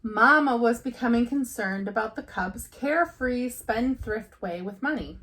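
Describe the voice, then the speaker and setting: Higher-voiced woman reading a storybook. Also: lively intonation, unhurried, a bit bright, rough recording. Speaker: teacher reading aloud. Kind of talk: children's storybook read-aloud.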